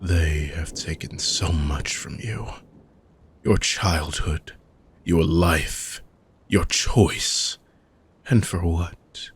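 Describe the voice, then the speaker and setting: A weary ancient dark mage, played by a man speaks in a low, unhurried voice, each phrase drawn out slowly. He often sinks into hushed whispers. A faint hiss sits under the fantasy roleplay audio. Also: heavily acted. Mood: soothing, hushed. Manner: slow, measured, drifting into hushed whispers.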